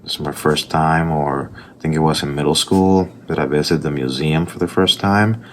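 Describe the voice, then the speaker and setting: Very deep-voiced Puerto Rican man rambling. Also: moderate pace, a little hiss or hum. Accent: Puerto Rican Spanish. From Puerto Rico. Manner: rambling storytelling with restarts and asides. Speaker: artist narrating his sketchbook process. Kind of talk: casual voice-over commentary.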